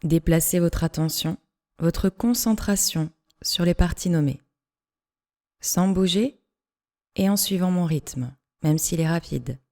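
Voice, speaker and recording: Slow, mellow woman guiding deep relaxation. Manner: measured, instructing, leaves pauses between short phrases. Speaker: yoga nidra instructor. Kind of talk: guided relaxation meditation, scripted instructions in short phrases.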